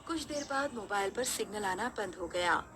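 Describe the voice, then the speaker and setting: A woman's voice: mid-range voice, expressive, normal speed, fairly bright tone. A woman narrating a scripted horror story.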